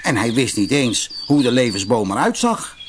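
A man narrating a fairy tale for children as an expressive storyteller. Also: medium pitch, normal speed, a little hiss or hum.

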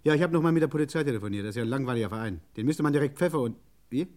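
An actor playing an excitable, blunt garage mechanic on the telephone in an old radio crime play, blustering yet polite. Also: male voice, medium pitch, racing, natural voice. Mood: grumbling.

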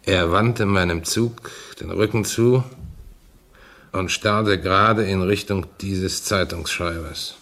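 A grave, shaken train driver in a 1950s radio play. He speaks slowly and solemnly in a low, gravelly voice. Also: fairly bright tone, exaggerated voice. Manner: solemn, deliberate. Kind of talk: 1950s radio drama acting.

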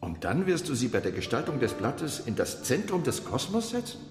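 An actor playing an imperious, devout Ottoman sultan in a literary radio drama, speaking in a measured, formal and commanding way. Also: mid-range voice, wildly swooping pitch. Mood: stern, questioning. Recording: echoey hall with long reverb, music behind.

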